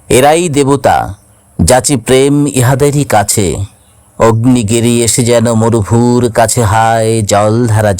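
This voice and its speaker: A man gives a dramatic recitation of verse at a slow, deliberate pace. His mid-pitched voice carries a solemn sorrow, with expressive, drawn-out phrasing. The recording is dry and close, with hardly any room sound. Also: dry recording. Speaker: poetry reciter. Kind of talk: dramatic Bengali poetry recitation.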